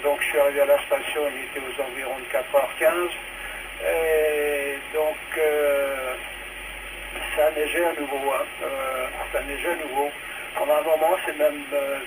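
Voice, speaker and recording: A man chatting casually as an amateur radio operator over a VHF transmission, hesitant and repeating his phrases. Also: a little high. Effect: two-way VHF radio transmission. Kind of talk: casual radio check-in chat. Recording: noisy VHF ham radio reception.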